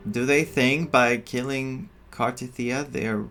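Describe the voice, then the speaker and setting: A man giving casual, musing playthrough commentary as a game streamer, with halting reactions. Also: mid-range voice, steady tone, fairly bright tone, slight background noise. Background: game music.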